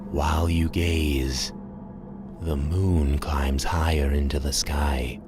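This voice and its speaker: Casting: male horror narrator, extremely low voice, ominous and dramatic, under loud scary music. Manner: dramatic, ominous, measured phrasing. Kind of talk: scripted second-person horror narration, Halloween radio drama.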